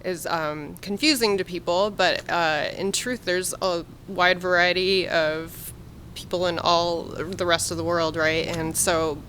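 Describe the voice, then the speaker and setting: A woman's voice: fairly low pitch, steady tone, a little slow, fairly bright tone, slight background noise. A woman in her thirties hosting a podcast, asking curious, thoughtful questions. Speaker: podcast host. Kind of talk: casual interview.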